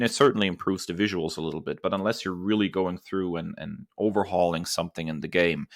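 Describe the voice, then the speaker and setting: A man with a deep voice speaks as a podcast co-host. The sound is dry, with very little room in it. He talks in a casual, conversational way, stressing his opinions. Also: a bit bright, no room sound.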